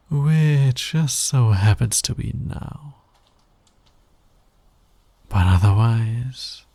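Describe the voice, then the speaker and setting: A man playing a smug, flirty fantasy villain in an intimate ASMR roleplay, breathy and teasing, often sinking toward a whisper. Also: medium pitch, dark tone, no room sound, heavily acted. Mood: smitten, confessing. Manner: teasing, slow, deliberate, dominant. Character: flirty, smug male fantasy villain, patient, smitten with hero. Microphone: close microphone, dry sound.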